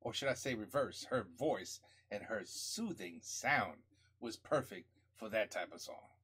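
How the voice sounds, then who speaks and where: A middle-aged man with a husky, slightly rough voice chats casually into a headset. His pitch swings up and down a lot as he rambles with enthusiasm and restarts his sentences. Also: animated, measured pace, a bit bright. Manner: enthusiastic, rambling, repeats himself. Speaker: reaction video host. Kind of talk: casual spoken reaction.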